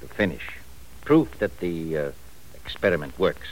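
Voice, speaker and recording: A man playing a manipulative professor in an old radio drama, smooth and patronizing. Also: low-pitched, sing-song, measured pace, poor audio. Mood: cool. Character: scheming older professor, cool and manipulative. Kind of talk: 1940s radio acting. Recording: faint hiss of an old radio recording.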